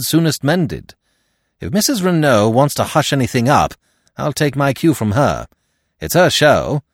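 A man's voice: mid-range voice, dry recording, pitched above the performer's own voice. A man voicing a loyal, blunt English secretary in an audiobook, dry and forthright in manner. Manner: blunt, colloquial.

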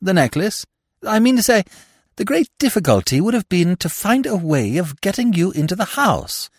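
A man acting a young, dim, upper-class English gentleman from a storybook. He is excitable and flustered, pitched high and swooping wildly as he blurts out old-fashioned slang, anxious and eager. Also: moderate pace, no room sound, clean studio sound, exaggerated voice. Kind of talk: audiobook character voice.

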